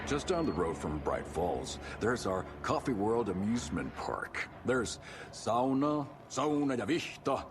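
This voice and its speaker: Jovial small-town game character, chatty salesman, very lively intonation, clear ambient noise behind. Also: lowish voice, measured pace. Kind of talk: video game character acting.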